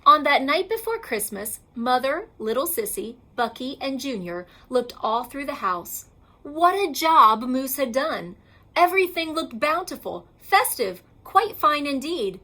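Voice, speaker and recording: Bright, high-voiced woman reading a storybook. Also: very animated. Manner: theatrical, emphatic, deliberate storytelling. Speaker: elementary school teacher reading aloud. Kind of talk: expressive children's picture book read-aloud.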